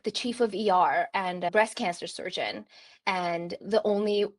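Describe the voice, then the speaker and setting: Chatty woman from Turkey, podcast guest. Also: mid-range voice. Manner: chatty, many "like" fillers, laughs often. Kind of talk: casual conversational storytelling.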